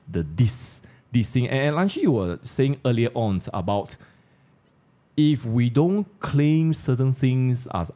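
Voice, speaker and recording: A man's voice: fairly low pitch, dark tone. A man with a Malaysian accent hosting a casual panel discussion, conversational and putting questions to his co-panelists. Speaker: discussion host.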